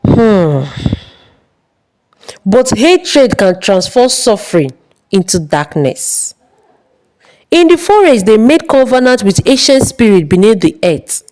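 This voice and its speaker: A woman narrating a dramatic folktale in a solemn, suspenseful and measured way. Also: fairly high pitch, sing-song, a little mellow, low-quality recording. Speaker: storyteller.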